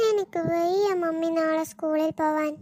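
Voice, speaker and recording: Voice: very high-pitched, steady tone, moderate pace, darkish tone. A performer voicing an eager, vain little cartoon schoolgirl with a childish, exclamatory delivery. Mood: reluctant. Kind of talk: scripted cartoon dialogue.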